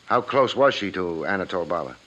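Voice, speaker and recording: A man's voice: sits low, animated, a little fast, fairly bright tone. A man playing a wry, hard-boiled private investigator in a 1950s radio drama, with a clipped tough-guy delivery. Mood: probing, wry. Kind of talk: 1950s radio detective drama acting and narration. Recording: muffled 1950s radio recording with music.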